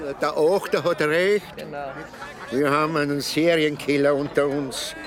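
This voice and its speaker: An actor playing a self-important, doom-saying village man with an Austrian accent, deliberate and ominous, in a radio drama. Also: a little high, fairly even intonation, crowd noise, close to an everyday voice. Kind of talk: dialect radio drama acting.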